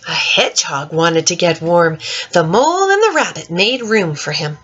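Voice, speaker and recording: A woman reads a children's storybook aloud in an expressive, dramatic way, speaking directly to young listeners, with a husky voice. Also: fairly low pitch, normal speed, dull audio. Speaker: storyteller reading a picture book to children.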